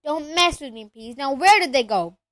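A woman's voice: extremely high pitch, expressive, slow, voice pushed up, exaggerated voice. A woman voicing an irritable, impatient male school caretaker in a storybook, curt and demanding.

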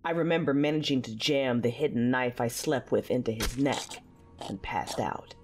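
A woman's voice: extremely low pitch, normal speed, darkish tone. Brooding female fallen-Jedi narrator, intense and controlled, sci-fi audio drama, faint music underneath. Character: battle-hardened, dark-tempered female fallen Jedi warrior.

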